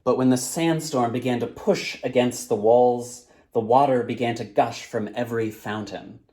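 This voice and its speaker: A man reading a storybook aloud as its author, in a dramatic, measured narrating style. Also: mid-range voice, fairly even intonation, dark tone.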